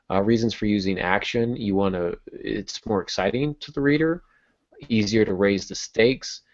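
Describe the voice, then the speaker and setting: A man's voice: on the low side, a little mellow. A man hosting a podcast, talking casually about the craft of writing. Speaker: podcast host. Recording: muffled lo-fi podcast audio.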